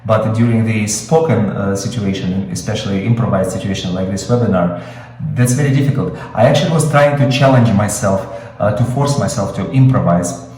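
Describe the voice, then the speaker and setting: A man's voice: on the low side, brisk, darkish tone, very echoey, recorded from a distance, low-quality recording. A man with a Russian accent, Russian his first language, teaching screenwriting in an improvised webinar, explaining with many fillers and restarts. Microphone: video call.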